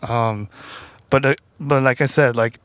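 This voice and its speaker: A man's voice: mid-range voice, fairly even intonation, a little slow, fairly bright tone, clear, resonant tone, no room sound. Young man with a Koreatown accent. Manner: playful, self-mocking, laughs a lot. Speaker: guest. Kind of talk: casual podcast chat.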